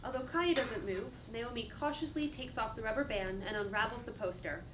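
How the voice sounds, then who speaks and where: A distant, noisy room recording of a woman narrating a play. Her voice sits a little high as she reads scripted stage directions. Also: fairly quick.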